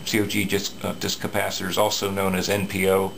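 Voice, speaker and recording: A man's voice: low voice, completely flat delivery, brisk, vocal fry, a bit bright, slight background noise. A man talking casually at his workbench, explaining a radio repair with many fillers and restarts.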